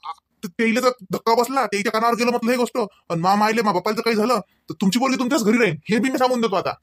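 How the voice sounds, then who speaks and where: A man's voice: very high voice, racing, a bit bright, dry recording, studio quality. A man playing a proud, angry village husband in a comedy cartoon, scolding in a Varhadi Marathi accent. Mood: indignant. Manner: scolding, firing off rhetorical questions. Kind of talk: amateur comedy cartoon dialogue.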